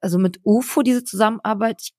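A young adult podcast co-host in a casual chat who speaks haltingly and keeps searching for words. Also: medium pitch, a little mellow, no echo, clean studio sound.

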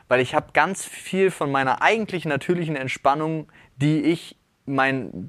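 A man's voice: fairly high pitch, fairly bright tone, dry recording. A man in his thirties, a podcast host, talking in a rambling, reflective way and often correcting himself mid-sentence. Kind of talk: casual chat.

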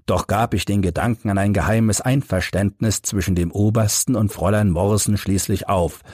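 Husky male audiobook narrator. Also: sits low, darkish tone, dry recording, clean studio sound. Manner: measured, formal reading. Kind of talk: scripted literary narration.

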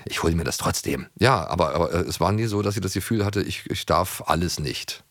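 A man co-hosting a podcast, chatting casually and telling anecdotes in a halting, self-interrupting but animated way. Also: low-pitched, dry recording. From East Germany. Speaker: podcast co-host.

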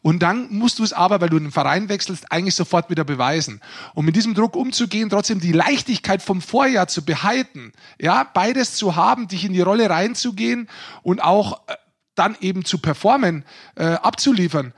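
A man's voice: high-pitched, animated, a little fast, husky. A man co-hosting a sports podcast, giving casual but emphatic analysis and repeating phrases for stress. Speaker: podcast co-host.

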